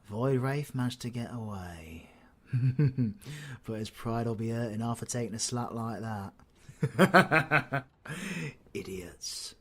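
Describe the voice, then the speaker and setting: A man's voice: medium pitch, lively intonation, slow, long pauses, fairly bright tone. A man with a British accent playing a cocky, foul-mouthed supervillain in an audio roleplay, full of flippant, mocking banter. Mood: smug teasing.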